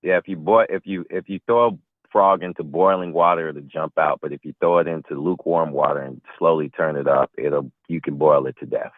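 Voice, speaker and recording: Man in his forties, very deep voice, plain explaining, tinny phone line. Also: steady tone, a little fast, dry recording. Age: 40s. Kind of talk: casual group discussion.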